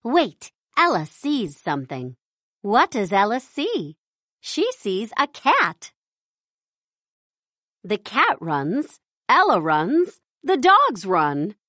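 Slow, very animated woman storybook narrator. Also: mid-range voice, no echo, clean studio sound. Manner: slow, careful, word-by-word delivery for young readers. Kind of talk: scripted children's read-along narration.

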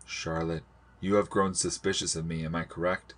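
A man plays an earnest old sailor in a storybook, with a fairly low voice. He is patient but insistent, pleading in measured, formal phrases. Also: flat delivery, fairly bright tone. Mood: grave, probing.

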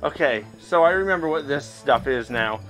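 A higher-pitched man gives casual gameplay commentary. His delivery swings up and down in an excitable way as he reacts out loud to his game. Music plays behind him. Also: normal speed, poor audio. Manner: excitable, reacting aloud while physically playing. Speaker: video game streamer.

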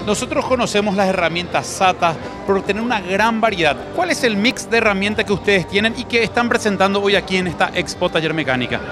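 Casting: male TV interviewer, very high voice, reporting from an expo stand over music. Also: animated, fairly quick, few pauses. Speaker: interviewer, TV show host. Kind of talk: on-location trade show interview. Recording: music under the voice. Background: background music.

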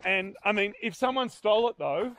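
A man's voice: sits high, lively intonation, moderate pace, a bit bright, a little hiss or hum. A man in his thirties with an Australian accent, chatting casually to camera as he vlogs outdoors. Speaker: vlogger hosting an outdoor rescue video. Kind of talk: casual vlog chat to camera. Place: outdoors in bushland. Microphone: handheld camera at arm's length.

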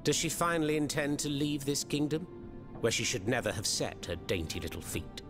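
An actor playing a mocking, zealous revolutionary in a video game, speaking in a flowery, sardonic manner. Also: male voice, mid-range voice, fairly quick, natural voice. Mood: curious, disdainful. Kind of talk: video game cutscene acting. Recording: game music behind the voice.

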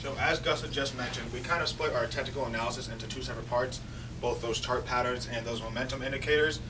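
A man's voice: mid-range voice, fairly even intonation, fast. A young man presenting on stage, walking the audience through stock charts. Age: young adult. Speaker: student presenter. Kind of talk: rehearsed finance presentation. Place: lecture hall on stage. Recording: distant voice with room noise.

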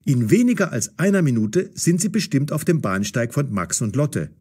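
A man narrating a scripted audio story for language learners in a measured, clearly enunciated way. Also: mid-range voice, big pitch swings, a little mellow.

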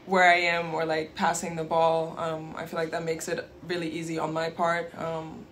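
A woman's voice: low-pitched, completely flat delivery, moderate pace, a bit bright, slight background noise. A young woman basketball player in her twenties giving shy postgame answers full of ums and likes, on a table mic in a press room. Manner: shy, giggly, many fillers.

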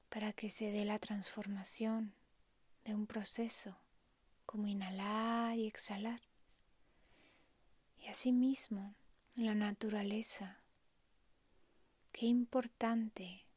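Slow, breathy woman guiding meditation. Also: mid-range voice, no room sound. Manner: hushed, breathy, calm delivery with long pauses. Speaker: meditation guide. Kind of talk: slow, soft guided meditation narration.

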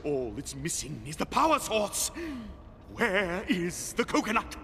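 High-pitched, pompous crocodile king villain. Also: very animated, unhurried, vocal fry, sharp tone, a little hiss or hum, full character voice. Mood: scheming, gloating. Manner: grandiose, theatrical, blustering. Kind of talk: animated cartoon villain acting.

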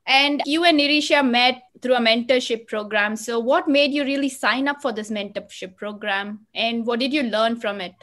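Higher-voiced woman hosting a podcast. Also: fairly even intonation, normal speed, hardly any pauses, a bit bright. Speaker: podcast host. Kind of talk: scripted podcast intro and interviewing.

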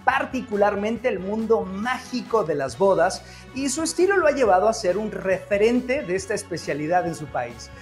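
A man hosting an online event, giving a polished scripted introduction of a guest. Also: high-pitched. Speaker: event host.

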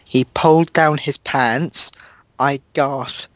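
A man's voice: mid-range voice, steady tone, slow, fairly bright tone, no room sound. A man reading a fan story aloud in a mock-dramatic way, stumbling over words and laughing at the text.

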